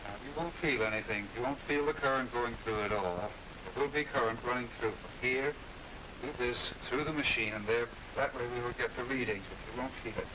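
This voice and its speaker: An actor playing a quack doctor with measured, professional patter in a 1960s educational film dramatization. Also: male voice, mid-range voice, close to an everyday voice. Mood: reassuring. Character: smooth-talking quack doctor reassuring his patient. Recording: distant, hissy 1960s film soundtrack.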